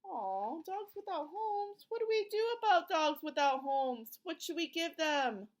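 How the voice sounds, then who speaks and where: A woman's voice: very high voice, expressive, measured pace. A woman reading a picture book aloud to young children in an encouraging, sing-song teacher's manner, asking questions and drawing out short phrases.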